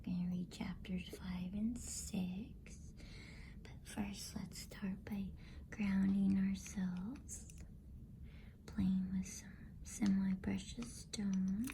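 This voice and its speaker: A woman whispering softly and breathily in a gentle, unhurried ASMR bedtime style. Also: mid-range voice, steady tone, slight background noise. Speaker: host and storybook reader.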